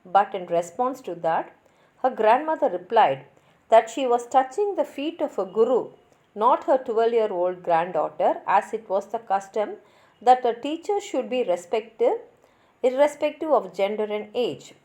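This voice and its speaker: A woman with a fairly high voice presents a scripted literature lesson. Her intonation is very animated, rising and falling widely as she narrates the summary for learners. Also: measured pace.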